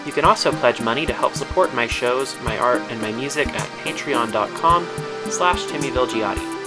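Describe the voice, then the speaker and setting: A man with a mid-range voice delivers a scripted podcast outro, reading out credits as the show's creator. Music plays clearly underneath him. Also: medium pitch, normal speed. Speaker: writer and producer reading show credits.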